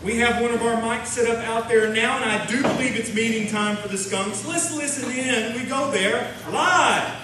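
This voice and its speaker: A man's voice: extremely high pitch, moderate pace, hardly any pauses. A man working as the announcer of a folksy, scripted comedy radio show. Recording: echoey hall, distant mic, noisy.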